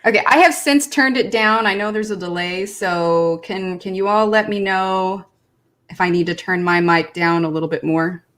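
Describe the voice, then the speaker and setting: Loud, bubbly woman chatting on stream. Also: mid-range voice, fairly even intonation, normal speed. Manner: loud, bubbly, self-deprecating, laughs a lot. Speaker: guest co-host on a coloring stream. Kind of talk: casual live-stream chat. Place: home, streaming online.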